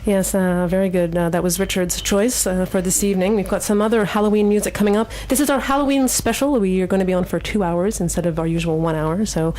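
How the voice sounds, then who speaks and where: A woman's voice: medium pitch, steady tone, fast, hardly any pauses, warm tone. A woman hosting a live radio show in a casual, slightly hesitant way from a studio.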